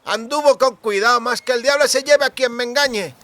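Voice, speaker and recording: Audiobook role: boisterous drunk country lad, very high strained voice, bright and forceful, rustic speech. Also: male voice, moderate pace, no echo. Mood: indignant, gossiping. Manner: exclamatory, colloquial rustic speech with clipped words. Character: drunken, boisterous young cooper, rustic and friendly.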